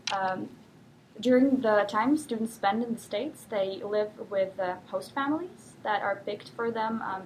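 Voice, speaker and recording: A young woman from Russia, whose first language is Russian, answering interview questions thoughtfully with many pauses and fillers. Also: medium pitch, slight background noise, poor audio. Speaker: guest.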